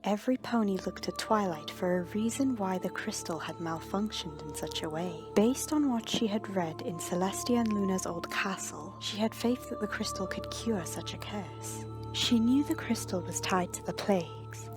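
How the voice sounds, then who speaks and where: A woman's voice: medium pitch, moderate pace, hardly any pauses. A woman reading a dramatic scripted fantasy story as the narrator. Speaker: fan fiction narrator. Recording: music under the voice. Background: background music.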